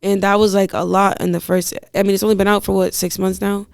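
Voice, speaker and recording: A woman rapper with a raspy voice, talking casually and with lots of slang in an interview. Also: mid-range voice, level tone, fast, no echo, clean studio sound. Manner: slangy, rambling, laughs often. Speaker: rapper guest.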